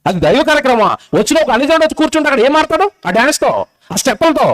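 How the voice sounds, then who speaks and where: A male preacher delivers a fervent sermon in an extremely high-pitched voice. He talks quickly, pressing the gathering with scolding rhetorical questions and urgent exhortation. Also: animated, a bit bright.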